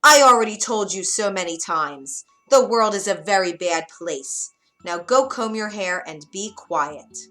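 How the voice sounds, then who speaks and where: A woman voicing a cruel, domineering old witch who shouts harshly in a storybook. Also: on the low side, expressive, a little slow, few pauses, soft background music. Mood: scolding. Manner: loud, harsh, scolding.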